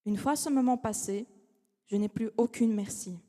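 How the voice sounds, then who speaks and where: A young woman, a law student whose first language is not French, giving a formal competition speech with measured, dramatic pauses. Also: fairly high pitch, mellow. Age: young adult. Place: large academic hall. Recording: long hall reverb.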